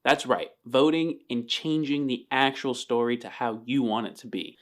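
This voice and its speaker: A man's voice: medium pitch, moderate pace, fairly bright tone. A man speaking as a game master and podcast host, addressing the listener directly. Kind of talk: podcast announcement, then slow scripted scene narration. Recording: music under the voice.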